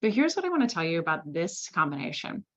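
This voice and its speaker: A woman from Switzerland presenting a sales masterclass in a lively, conversational way, often asking "right?". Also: fairly low pitch, quick pace. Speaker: sales trainer.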